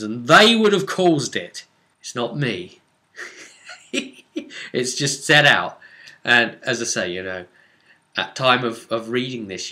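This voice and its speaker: A man recapping a tabletop role-play session as its game master, telling it excitedly and repeating phrases for emphasis. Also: lowish voice. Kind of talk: animated session recap storytelling.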